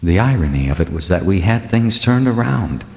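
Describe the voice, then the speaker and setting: A man's voice: low voice, expressive, normal speed, darkish tone, no echo. A man narrating fiction as a scripted, dramatic audiobook reading.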